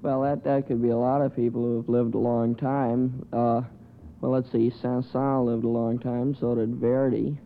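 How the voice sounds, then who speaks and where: A man's voice: medium pitch, level tone, normal speed. Bookish male quiz contestant, tinny noisy old radio. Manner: thoughtful, bookish, begins answers with "well". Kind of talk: answering quiz questions.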